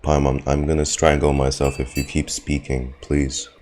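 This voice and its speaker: A man's voice: very deep voice, flat delivery, moderate pace, a little mellow, a little hiss or hum. A man giving casual, sarcastic and sweary reaction commentary as he streams a video game. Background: game music.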